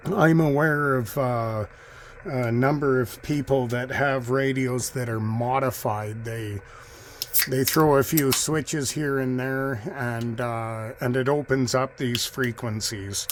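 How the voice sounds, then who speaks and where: A man's voice: medium pitch, steady tone, takes it slowly. Casual man musing over faint radio noise. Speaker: radio hobbyist narrating a video. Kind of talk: casual off-the-cuff commentary. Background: shortwave radio noise.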